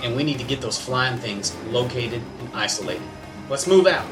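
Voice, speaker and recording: A man playing a gruff, distrustful navy captain in an audio drama, with music behind him. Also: medium pitch, animated, normal speed, natural voice, poor audio. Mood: brisk commanding.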